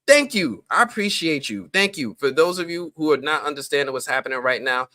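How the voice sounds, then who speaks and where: A man hosting a live stream, chatting casually and greeting viewers in slang-heavy talk, his voice jumping up with excitement. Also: a little high, a little fast, dry recording. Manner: excitable, voice jumps up when greeting people; relaxed slang-heavy talk with many fillers.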